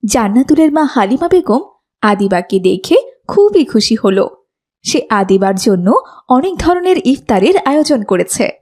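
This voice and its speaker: A woman's voice: a little high, very animated, a little slow, darkish tone. A woman narrating a scripted moral story for children.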